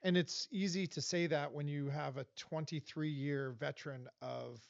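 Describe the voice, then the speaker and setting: A fairly high-voiced man from Los Angeles, in his forties, speaking as an interview guest with an emphatic, animated way of telling his story. The sound is dry and a little dull, like a podcast recorded close in a small space. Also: a little slow, gravelly, dry recording. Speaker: guest, vendor advocate. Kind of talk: casual interview talk.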